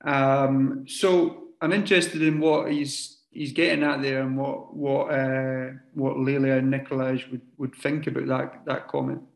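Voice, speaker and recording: A man puts a question to the panel of an online debate, laying it out carefully and restating it. His voice is gravelly with vocal fry. The room around him sounds echoey. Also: mid-range voice, flat delivery. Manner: measured, explaining carefully. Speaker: audience member asking a question.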